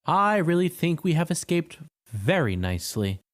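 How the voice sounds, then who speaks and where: A man reading an audiobook, voicing a kind tin woodman in a gentle, mid-pitched voice. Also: moderate pace, close to an everyday voice. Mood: tender. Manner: gentle, proud. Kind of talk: audiobook character voice.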